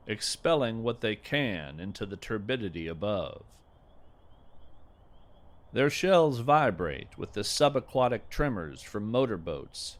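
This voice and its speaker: A man playing a gentle, folksy naturalist who hosts a scripted field podcast with earnest, lyrical wonder. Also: lowish voice. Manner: deliberate, lyrical, earnest delivery. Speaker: podcast host and narrator. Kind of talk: scripted narration with poetry reading.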